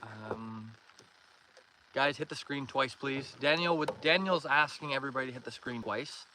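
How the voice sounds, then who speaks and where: A man's voice: mid-range voice, normal speed. A man with a Canadian accent chats casually as a livestream host, reading out and answering viewer comments in a friendly, welcoming way. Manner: friendly, welcoming, thanks and greets viewers by name. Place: outdoors in a canoe on a pond. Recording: noisy outdoor recording with water and canoe sounds.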